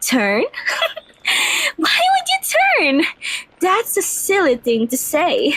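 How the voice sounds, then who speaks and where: A woman's voice: very high-pitched, huge pitch swings, drawn-out words, fairly bright tone, full character voice, rough recording. A woman voicing a giddy, childlike, playful vampire mistress in an ASMR roleplay, gushing and girlish. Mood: amused disbelief.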